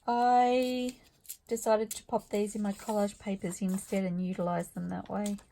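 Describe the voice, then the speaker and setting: A woman losing her voice, talking quietly and thinking aloud as she works on a craft project in her studio. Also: mid-range voice, flat delivery, normal speed, vocal fry, slight background noise, rough recording. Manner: quiet, murmured asides to herself while working. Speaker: craft vlogger. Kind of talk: soft-spoken craft-along commentary, thinking aloud.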